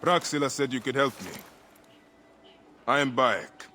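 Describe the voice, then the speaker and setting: A man's voice: mid-range voice, a little slow, bright, forceful tone, a little hiss or hum. Grave, vengeful Egyptian warrior father. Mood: grave resolve. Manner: grave, intense. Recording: echoey reverb with clear music.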